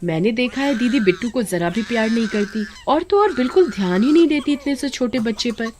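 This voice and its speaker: A woman voicing a gentle, caring young aunt in a story, earnest and tender in manner. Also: a little high, animated, fairly quick, slight background noise, pitched above the performer's own voice, close to an everyday voice. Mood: concerned reproach.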